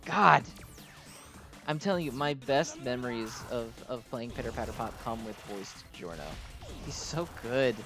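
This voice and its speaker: A man's voice: mid-range voice, unhurried, creaky, music in the background. Gushing man doing casual gameplay commentary. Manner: gushing, enthusiastic, exclamatory. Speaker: gaming video host.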